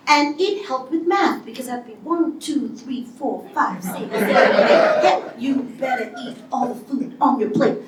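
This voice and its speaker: A woman delivers a motivational speech, acting out quoted lines as she tells her story. Her voice sits fairly high and comes from some distance off the mic. The big hall around her echoes, and a faint hiss runs underneath. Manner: animated storytelling with acted-out quotes. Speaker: speaker. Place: large hall before an audience.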